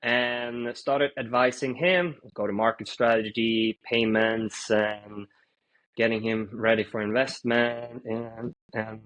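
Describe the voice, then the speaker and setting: A man in his thirties from Sweden, a fintech founder answering interview questions in an easygoing, story-like way with many pauses. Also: mid-range voice, rough recording. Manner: relaxed storytelling with frequent "uh" pauses.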